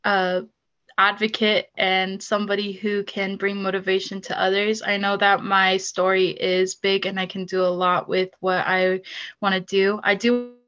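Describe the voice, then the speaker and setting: A young woman speaking casually on a video call from a small room at home, soft-spoken and hesitant with many pauses. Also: mid-range voice, little pitch movement, dull audio. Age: young adult. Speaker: guest speaker. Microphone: laptop webcam mic on a video call.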